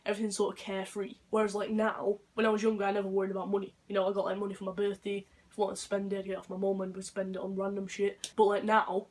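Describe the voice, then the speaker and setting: A young man with a deep voice, talking unscripted in a rambling, earnest vlog monologue. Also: monotone, very fast, hardly any pauses. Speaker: vlogger.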